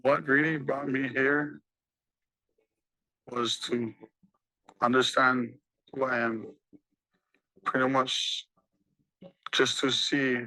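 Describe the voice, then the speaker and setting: Earnest young man with a flat, bright voice. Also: medium pitch, low-quality recording. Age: young adult. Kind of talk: documentary interview.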